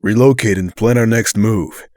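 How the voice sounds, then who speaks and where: A man's voice: fairly low pitch, normal speed, darkish tone, no room sound, studio quality, natural voice. A man playing a tense young male warrior on the run in an audio drama, speaking with urgency. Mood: tense, urgent.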